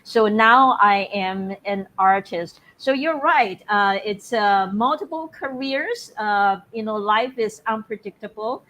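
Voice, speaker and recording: A middle-aged woman, speaking at a middling pitch as a guest on a video interview. Her talk is reflective and conversational. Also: slow, hardly any pauses, fairly bright tone, low-quality recording. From Taiwan.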